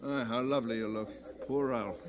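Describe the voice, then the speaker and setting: An actor playing a pompous, jovial old colonel with florid, blustering speech in 1940s radio drama. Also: male voice, mid-range voice, fairly even intonation, fairly quick, dull audio, crowd noise, natural voice. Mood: pompous, chuckling. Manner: florid, orotund, chuckling.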